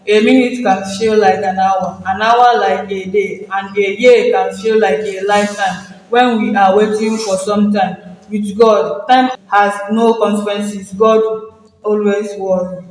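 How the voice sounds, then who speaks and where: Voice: mid-range voice, level tone, unhurried. A young student with a Nigerian accent reading scripted religious narration. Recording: echoey hall, distant mic, faint music.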